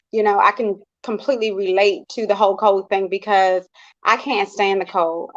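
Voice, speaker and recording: An American woman in a casual panel discussion, speaking in an earnest, expressive, conversational way. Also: medium pitch. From United States. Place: online video call. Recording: dull, lo-fi online call.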